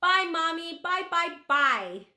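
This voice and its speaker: A woman voicing an excitable, babbling little boy in a children's storybook. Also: extremely high pitch, slow, pitched above the performer's own voice, full character voice. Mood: excited. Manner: loud, repetitive babbling. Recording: roomy, echoing room sound.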